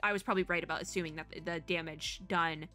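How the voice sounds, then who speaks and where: Bright-voiced woman chatting through a game reaction. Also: lowish voice, fast, faint music behind. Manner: animated, rambling, thinking out loud. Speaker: VTuber reaction video host. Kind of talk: casual chatty game reaction commentary, part reading aloud.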